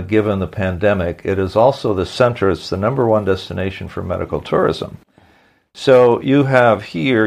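A man hosting a podcast, reading a sponsor message and then interviewing a guest in an unhurried way with some hesitant fillers. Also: low voice, vocal fry, a little mellow. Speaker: podcast host.

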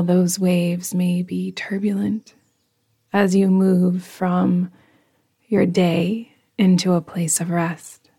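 A woman leads a guided meditation very slowly and softly. Her voice is mellow and lowish, with a gravelly creak at the edges. She keeps an even, soothing flow that often thins into a breathy whisper. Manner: soft, breathy, hushed delivery trailing into whispers. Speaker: yoga nidra guide.

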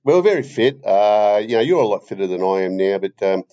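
An older man with an Australian accent, rambling haltingly and deadpan as he hosts a comedy podcast chat, on a slightly dull recording. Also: fairly low pitch.

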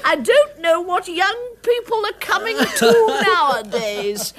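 An aristocratic English dowager gossips theatrically in a radio drama. Her older voice sits high and swings widely in pitch, with an upper-class accent and animated, mimicking storytelling. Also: takes it slowly, full character voice. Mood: amused storytelling. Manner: animated storytelling, mimicking people she quotes.

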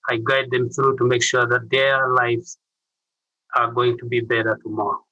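A man's voice: medium pitch, level tone, moderate pace, dry recording, dull audio. A middle-aged man with a Ugandan accent, telling his story earnestly as an interview guest. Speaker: interview guest, youth mentor.

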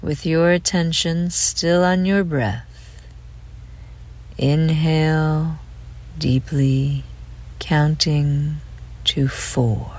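A woman leading a guided relaxation meditation in a gentle, soothing way, with phrase ends trailing off into breath. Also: deep voice, fairly even intonation, drawn-out words, long pauses, husky.

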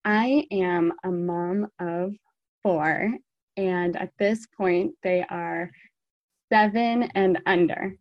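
Slow-paced American woman hosting a podcast. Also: fairly low pitch, steady tone, no room sound, lo-fi sound. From born in South Africa, raised in the United States. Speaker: podcast host. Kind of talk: solo podcast talk to listeners.

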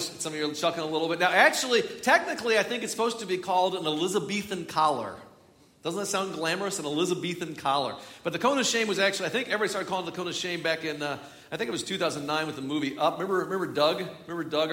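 A man's voice: on the high side, quick pace, sharp tone. A man preaching a sermon as a pastor, chatty and joking, with frequent restarts. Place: large church hall. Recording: echoey church hall from far off.

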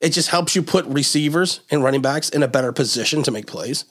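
A man hosting a football talk show, slightly hoarse, rambling through animated analysis. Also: fairly high pitch, quick pace. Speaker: host of a sports talk show. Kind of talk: sports talk vlog.

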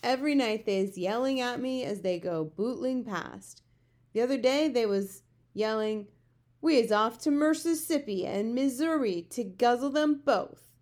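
Woman acting a gentle, gloomy giant with muddled words. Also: a little high, unhurried, pitched above the performer's own voice, lightly acted. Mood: resigned, sad. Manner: muddled homespun grammar with invented words. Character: big friendly giant, male, gentle, gloomy, word-mangling. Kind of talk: children's storybook character reading.

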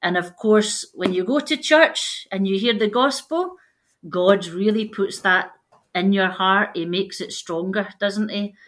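A woman's voice: medium pitch, a little slow. A woman from Scotland with a Scottish accent, a missionary guest speaking earnestly and plainly about her calling in an interview. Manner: earnest, plain-spoken faith testimony. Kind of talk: interview conversation.

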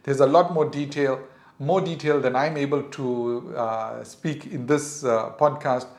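A man's voice: mid-range voice, steady tone, a little slow, darkish tone, roomy sound. A middle-aged man with a South African English accent, hosting a podcast and earnestly advising parents in a reflective monologue. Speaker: podcast host.

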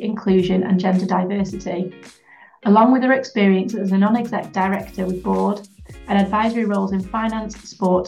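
A woman opens a podcast episode with a smooth scripted introduction. Her voice is mellow and gravelly, with faint music behind her. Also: mid-range voice, poor audio. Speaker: podcast host.

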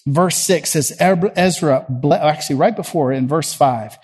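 A middle-aged man with a Southern US accent, preaching a conversational sermon from a church platform. He questions the congregation and moves between loud and quiet. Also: a little high, moderate pace, breathy edge.

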